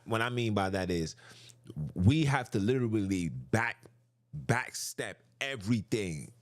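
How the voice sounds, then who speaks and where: A man's voice: lowish voice, no room sound. A man hosting a podcast, delivering an impassioned, preachy monologue straight to his listeners, often repeating himself for emphasis. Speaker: podcast host.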